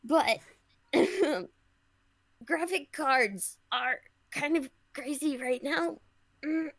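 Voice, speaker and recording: A woman's voice: sits high, drawn-out words, fairly bright tone, no room sound. A young woman chatting casually on a podcast.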